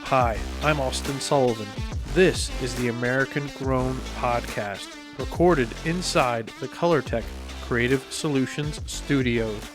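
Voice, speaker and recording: A man hosting a podcast in a studio, relaxed and jokey as he chats with his guests. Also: medium pitch, a little slow, hardly any pauses, music in the background. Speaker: podcast host. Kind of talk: casual podcast chat. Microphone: studio mic.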